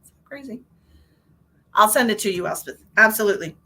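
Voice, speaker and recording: A woman's voice: mid-range voice, lively intonation, brisk, sharp tone. A woman hosting a tarot livestream, chatting casually and rambling as she answers viewer comments, a little stuffy-nosed, talking from her car. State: stuffy nose.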